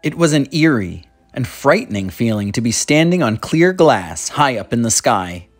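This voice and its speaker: An animated storybook narrator reading aloud for children. The voice sits at a middling pitch and moves up and down a lot, over faint music. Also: normal speed. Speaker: storybook reader.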